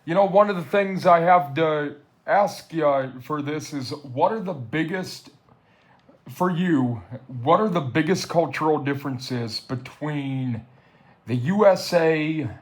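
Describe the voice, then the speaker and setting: Slow-paced male interviewer, higher voice. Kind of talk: informal recorded interview.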